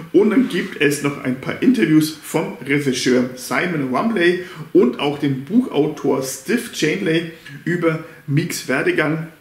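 Rambling German man reviewing a film at home. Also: fairly high pitch. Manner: rambling, repeats filler words, stumbles over names. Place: living room at home, indoors. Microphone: camera on a stand in front of an armchair. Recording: echoey living room.

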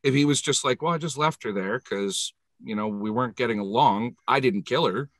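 A man in his thirties talks in an animated, casual way, slipping into other people's voices as he speaks. The sound is dry and close, from a headset on a video call at home. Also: medium pitch, a little fast, no echo. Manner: animated, acts out quoted voices. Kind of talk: casual chat.

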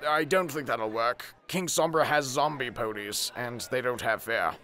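A man's voice: mid-range voice, sharp tone, natural voice. A man voicing a bossy young pony war strategist in a parody cartoon, laying out schemes with authority. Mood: confident planning. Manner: deliberate, authoritative explaining. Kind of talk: parody cartoon dub acting.

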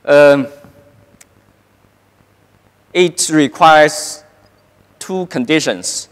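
Man, middle-aged, halting keynote lecture, very slow, lots of fillers, reverberant hall. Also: a little high. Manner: halting, many "uh" fillers and restarts. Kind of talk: conference science lecture. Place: large conference hall stage.

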